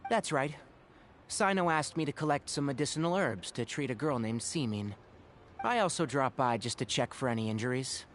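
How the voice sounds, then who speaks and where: An actor playing a caring young herbalist in a video game, with a matter-of-fact, mildly chiding manner. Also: male voice, medium pitch, animated, moderate pace, a bit bright, slight background noise, natural voice. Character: brisk, caring young male herbalist, gently scolding. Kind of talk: video game character voice acting.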